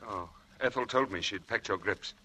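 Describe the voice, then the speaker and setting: Glib husband, bright forceful voice. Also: male voice, fairly low pitch, normal speed. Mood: subdued, sorry. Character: charming, evasive young husband and scheming gambler. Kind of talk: 1940s radio drama acting. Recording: noisy old 1940s radio broadcast.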